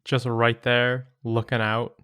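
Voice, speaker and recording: A man's voice: medium pitch, completely flat delivery, slow, a bit bright, clean tone. Guy podcast cohost, mid voice, wry and teasing, just chatting. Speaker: podcast co-host. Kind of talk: casual chat, reacting to stories.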